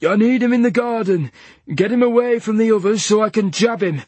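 A man's voice: sits high, a little mellow, slightly rough, no room sound, voice pushed up. A man doing a patronizing, sing-song male carer. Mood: commanding. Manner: patronizing, soothing, slow.

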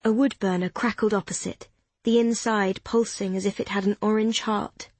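A woman narrating an audiobook in an even, descriptive, unhurried way, close to a studio mic. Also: mid-range voice, breathy edge, no echo.

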